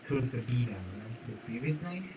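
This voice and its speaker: A man's voice: medium pitch, lively intonation, moderate pace, slight background noise. A man live-streaming a game, muttering casual, slangy commentary. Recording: stream mic under loud game music. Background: loud game music.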